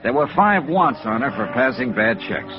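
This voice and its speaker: An American actor playing a wry, dogged insurance investigator in 1950s radio noir, clipped and matter-of-fact. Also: male voice, mid-range voice, big pitch swings, moderate pace, close to an everyday voice. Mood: dry, businesslike. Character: wry, dogged male freelance insurance investigator, private-eye type. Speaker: lead actor and narrator. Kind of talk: 1950s radio noir acting and first-person narration. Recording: dull vintage radio recording with music.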